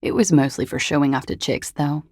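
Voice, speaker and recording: A woman's voice: low voice, fairly quick, a little mellow, no echo, studio quality. An actress voicing a world-weary, guarded, seductive woman in a video game, with languid, hesitant, wry delivery. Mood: wistful, wry. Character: world-weary, guarded, seductive disco-dancer woman recounting a dead lover. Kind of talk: video game dialogue acting.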